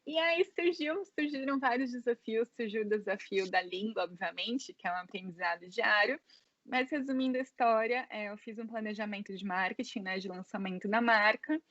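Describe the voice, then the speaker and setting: Chatty Brazilian woman, slightly high voice. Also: normal speed, a bit bright, dry recording, dull audio. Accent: Brazilian Portuguese. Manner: chatty, many fillers like "né" and "é". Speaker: interview guest. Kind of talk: casual interview storytelling.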